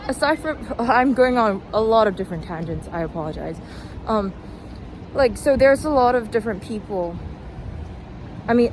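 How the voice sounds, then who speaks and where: Young female vlogger, unhurried delivery, self-deprecating and tangential, recorded outside on a walk over noticeable city noise. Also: medium pitch. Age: young adult. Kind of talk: casual rambling vlog while walking. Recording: noisy outdoor recording with traffic.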